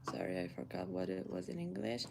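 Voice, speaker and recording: A woman's voice: very deep voice, big pitch swings, fairly quick, gravelly, background noise. A young woman from Iran with a Persian accent, chatting casually to viewers while having breakfast at home. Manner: chatty, thinks aloud while eating. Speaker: vlogger. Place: home kitchen, indoors.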